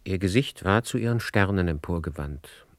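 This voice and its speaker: A man with a very low voice narrates a literary radio play. He tells the story soberly and with measure, in a very dry recording. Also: expressive, normal speed, no echo.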